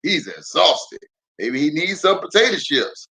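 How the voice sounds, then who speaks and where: A man voicing friendly, easygoing little pigs in a children's storybook, in an expressive way. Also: a little high, a little slow, heavily acted. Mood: kindly.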